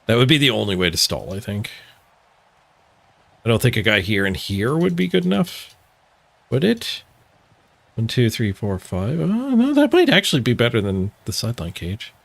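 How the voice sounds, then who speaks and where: A man's voice: on the low side, wildly swooping pitch, normal speed, creaky, pauses often, dry recording. A man streaming a video game, giving casual, playful live commentary as he thinks his moves through aloud.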